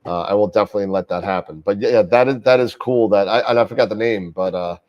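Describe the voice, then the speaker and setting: Low-voiced male host with a cold. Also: distant mic, highs cut off. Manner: halting, many fillers and restarts. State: getting over a cold, sniffling. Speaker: host of astronomy live show. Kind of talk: casual live-stream chat.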